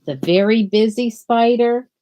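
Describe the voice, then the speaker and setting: An older woman, a school principal, reads aloud gently and slowly to young children from a small room at home on a laptop webcam. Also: fairly high pitch, slight background noise. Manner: slow, gentle and animated, like reading to young children. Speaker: school principal reading to students. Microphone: laptop webcam at desk.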